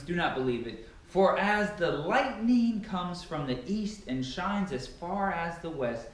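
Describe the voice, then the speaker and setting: Young male Bible reader, slightly high-pitched, dramatic and emphatic delivery, heavily echoing bare room, distant mic. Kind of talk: dramatic scripture reading. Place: bare carpeted living room indoors. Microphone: far camera. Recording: very echoey empty room, distant microphone.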